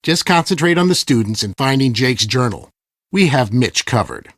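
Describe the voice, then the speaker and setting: A man's voice: mid-range voice, very animated, moderate pace, dry recording, clean studio sound. A man voicing an edgy, businesslike detective in a video game, giving curt instructions. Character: edgy, businesslike male detective handling an undercover helper.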